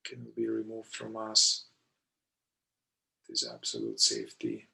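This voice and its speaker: A man leading a guided meditation in short, deliberate phrases with long pauses between them. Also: lowish voice, sing-song, vocal fry, sharp tone, rough recording. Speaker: meditation guide, somatic therapist.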